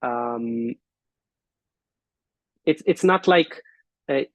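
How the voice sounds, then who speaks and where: A man hosting an online business forum, talking casually and haltingly while he walks through his shared screen on a video call. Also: mid-range voice, a bit bright, no echo. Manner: halting, thinking aloud with fillers and restarts.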